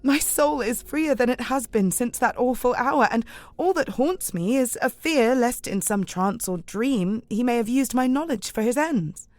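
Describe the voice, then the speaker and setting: A woman's voice: fairly high pitch, lively intonation, unhurried, hardly any pauses, darkish tone, no room sound. An actress playing an earnest, devout young Victorian woman, formal and articulate, in an audio drama. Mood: fervent, relieved.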